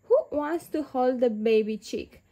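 Casting: lady badger farmer for a picture book, read by a woman, high voice, friendly, instructive. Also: a little slow, warm tone. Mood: encouraging.

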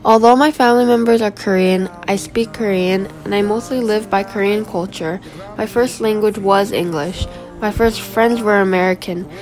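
A fifteen-year-old girl from Guam reading her autobiography aloud as a school presentation, in an earnest, steady voice. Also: mid-range voice, normal speed, poor audio. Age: teenager, 15. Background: background music.

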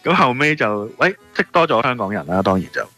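Man, Hong Kong Cantonese accent, casual explanatory chat, crisp bright tone, background music. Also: mid-range voice, sing-song, brisk. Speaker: radio interview guest, shop owner. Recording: music playing behind the voice.

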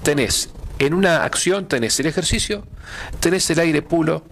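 A man's voice: a little high, moderate pace, fairly bright tone, a little hiss or hum. A middle-aged man with an Argentine accent, a pastor being interviewed in a TV studio, speaking hesitantly with many fillers and repeated words. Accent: Argentine Spanish. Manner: gestures with hands while explaining. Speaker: pastor and event organizer. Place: TV studio with dark curtains.

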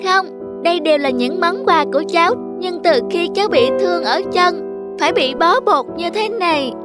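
A woman's voice: extremely high pitch, normal speed. A woman voicing a sweet, lonely little boy in a cartoon, earnest and polite. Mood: wistful. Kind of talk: cartoon voice acting. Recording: music behind the voice.

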